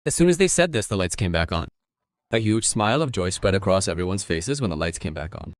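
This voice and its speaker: Male storyteller, low register, scripted narration, close dry recording. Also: lively intonation, fast, dry recording.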